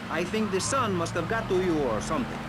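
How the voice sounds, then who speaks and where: An actor playing an earnest talk radio host, fussy and placating but exasperated, in a satirical show. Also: male voice, high-pitched, sing-song, brisk, loud music behind the voice. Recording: very noisy game capture, traffic sounds.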